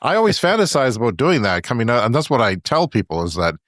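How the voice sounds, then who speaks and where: A man co-hosting a podcast in casual chat, talkative and quick to laugh. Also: medium pitch, big pitch swings, a bit bright, dry recording, studio quality. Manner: chatty, laughs often between remarks. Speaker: podcast co-host. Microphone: remote podcast call.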